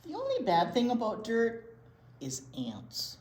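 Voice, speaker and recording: A woman reading a picture book aloud to young children in an expressive way, pausing to explain words simply. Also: a little high. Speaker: library storytime presenter, children's teacher. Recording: roomy echo, light background noise.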